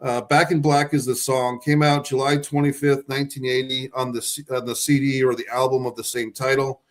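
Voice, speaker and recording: A man co-hosting a podcast sits at a middle pitch and tells stories about the songs he loves. His talk is loose and meandering, full of restarts and trailing asides. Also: little pitch movement, fairly quick. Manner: rambling, with frequent restarts. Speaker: podcast co-host. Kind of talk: casual chat about favorite songs.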